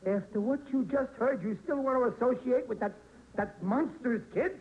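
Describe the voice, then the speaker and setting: A man's voice: extremely high pitch, moderate pace, dull audio. An actor playing a blustery, stubborn American working-class family man in an old-time radio sitcom, indignant and ungrammatical. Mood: angry, stubborn. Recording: noisy vintage radio recording.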